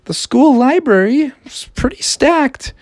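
A woman's voice: high-pitched, lively intonation, slow pace, a little mellow, no echo, pitched above the performer's own voice. A woman voicing an easygoing, encouraging male frog in a bedtime story. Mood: friendly. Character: friendly helpful frog, male.

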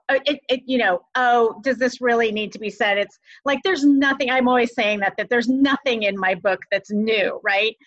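An enthusiastic woman interviewer with a fairly high voice, gushing and quick to laugh. Her sound is dry and slightly dull, like a lo-fi recording. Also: fairly bright tone, no room sound. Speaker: podcast host. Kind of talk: casual interview chat.

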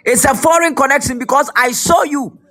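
A hoarse preacher with a very high, bright, piercing voice, crackling with rough fry as he strains. He declares in fervent, repeated bursts, and soft music plays behind him. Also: brisk. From Ghana. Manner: fervent, repetitive, shouted declarations. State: hoarse, losing his voice. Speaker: prophet preacher. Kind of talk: prophetic sermon with prayer.

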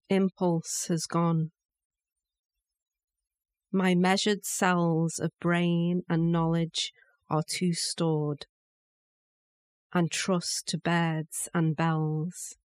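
A woman reciting a poem in a measured manner, pausing between short phrases. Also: fairly low pitch, dry recording. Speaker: poetry reader. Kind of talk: poetry recitation.

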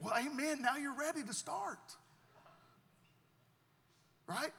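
High, bright-voiced pastor preaching in a hall. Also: male voice, takes it slowly, gravelly. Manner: earnest preaching with repeated words and restarts. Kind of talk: sermon.

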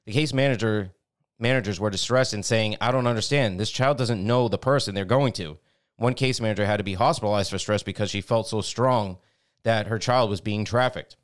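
A man co-hosting a podcast, reading a news article aloud haltingly, stumbling and restarting words, then adding indignant commentary. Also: fairly low pitch, clear, resonant tone. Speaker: podcast co-host.

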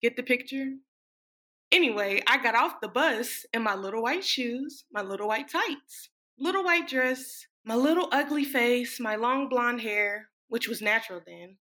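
A brash young small-town dancer, played by a woman tells her story as a stage monologue. Her voice is fairly high and bright, with a forceful edge. She is sassy, sardonic and happy to swear. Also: expressive, measured pace. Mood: wry, boastful. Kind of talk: dramatic stage monologue reading.

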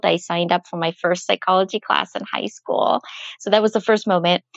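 A woman with a gravelly, slightly nasal voice from a cold explains things earnestly and at a measured pace. Her voice comes through a dull, muffled remote microphone with a dry, close sound. She is a clinical psychologist answering interview questions on a podcast. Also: on the low side, dry recording.

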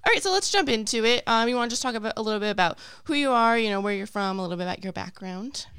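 A woman's voice: fairly high pitch, lively intonation, a bit bright, smooth, no room sound, studio quality. A woman co-hosting a podcast, interviewing in an informal, upbeat, chatty way. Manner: informal, upbeat, quick to laugh. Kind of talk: casual interview chat. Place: podcast studio.

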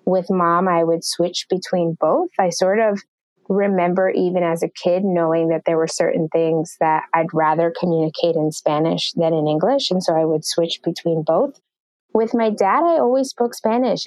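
Guest: New York woman, low-ish voice, measured and articulate, dry sound. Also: fairly even intonation, a little mellow, no room sound, rough recording. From New York, USA. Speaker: podcast guest, marketing executive. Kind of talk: interview answers.

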